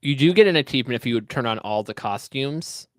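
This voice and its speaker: A friend walking the player through game options in quick, filler-heavy chat. Relaxed and conversational, with an ordinary mid-pitched voice. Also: dry recording. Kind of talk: casual let's-play chat.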